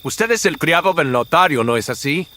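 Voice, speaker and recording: A man's voice: a little high, expressive, moderate pace, pushed and bright, slight background noise, pitched above the performer's own voice. A man playing a night caller who questions a servant at the door, theatrical and inquiring, in a radio horror drama.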